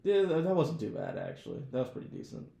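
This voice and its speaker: A man's voice: on the high side, animated, quick pace, vocal fry, dark tone, echoey room. A man co-hosting a podcast in casual chat, talking informally with slang and swearing. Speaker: podcast co-host. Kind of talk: casual chat, TV show discussion.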